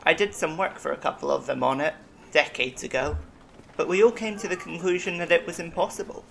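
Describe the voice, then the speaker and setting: Casting: eccentric alien medic, sci-fi audio drama, gravelly edge, reflective and worldly, faint ambient noise behind. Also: medium pitch, brisk, a bit bright. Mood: intrigued. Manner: reflective, wryly self-deprecating.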